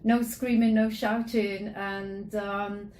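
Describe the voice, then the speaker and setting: Woman interview host, mid-pitched, chatty and enthusiastic, echoey room with faint hiss. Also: little pitch movement, takes it slowly, darkish tone. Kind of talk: conversational interview.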